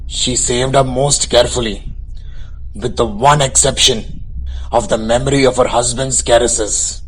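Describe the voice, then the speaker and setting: A man playing the storyteller of a radio drama, narrating in a measured, literary way. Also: medium pitch, fairly bright tone, slightly rough, low-quality recording. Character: storyteller recounting a tragic marriage. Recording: background music under narration.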